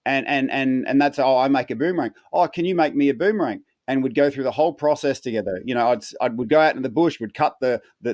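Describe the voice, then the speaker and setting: An earnest Australian man in his fifties tells his story as a podcast guest, circling back and repeating words as he restarts. His voice sits in a middle pitch and is recorded dry and close, with no room sound. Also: a little mellow, no echo, muffled recording. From South Australia. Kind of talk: storytelling interview answers.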